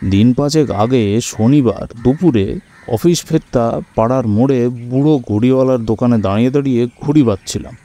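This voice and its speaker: Radio drama: earnest, chatty male hobbyist, proud of his kites, very soft dark tone man acting. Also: medium pitch, normal speed, lo-fi sound, lightly acted. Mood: recounting. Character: Fatikbabu, keen kite-flying man, new tenant, earnest and proud. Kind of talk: radio audio-story acting, conversational storytelling.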